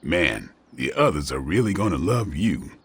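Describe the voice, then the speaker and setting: An actor playing a cool, gruff vampire hunter with a sardonic, dry manner in a video game. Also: male voice, sits low, big pitch swings, moderate pace, a bit bright, heavily acted. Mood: dry, teasing.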